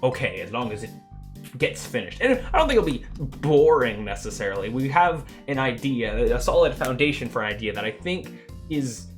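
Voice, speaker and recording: A man's voice: mid-range voice, sing-song, normal speed. A young man from Canada streaming his game development, chatting casually, rambling and laughing as he loses his train of thought. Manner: rambling, chatty, laughs often, trails off and restarts. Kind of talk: rambling casual livestream chat. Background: faint music.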